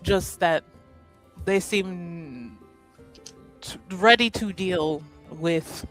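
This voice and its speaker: A woman playing an amused, candid, teasing character in a tabletop roleplaying game, in a halting manner. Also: fairly low pitch, a bit bright, faint music behind.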